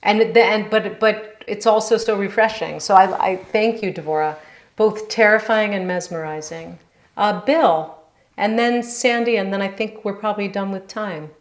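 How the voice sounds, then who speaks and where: A woman teacher answering questions in an informal, thoughtful, halting way, often checking with "right?", on a video call. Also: medium pitch. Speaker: yoga teacher. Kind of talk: informal question-and-answer chat after class. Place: indoor room on a group video call. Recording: roomy, echoing video call sound.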